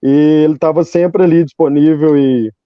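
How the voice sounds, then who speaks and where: A man's voice: a little high, steady tone, normal speed, highs cut off, a crowd in the background. A man chatting as an interview guest, rambling and repeating phrases as he explains. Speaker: interview guest, podcast creator. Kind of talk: casual conversational interview.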